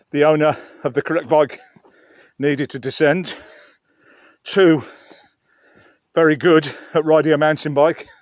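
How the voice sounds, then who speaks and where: Male hiking vlogger, slightly high voice, rambling conversational delivery to camera, somewhat muffled open-air recording. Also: dry recording. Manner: chatty, rambling, musing aloud. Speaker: vlogger reviewing gear outdoors. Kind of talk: casual narration to camera while hiking. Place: outdoors on a mountain trail.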